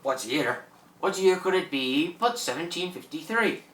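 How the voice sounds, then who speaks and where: Radio drama: proud, fierce French pirate, theatrical broken English, forceful delivery, recorded from a distance. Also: male voice, a little high, animated, unhurried, roomy sound. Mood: scornful. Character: fierce, proud French pirate thawed from fifty years frozen. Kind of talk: amateur radio drama acting.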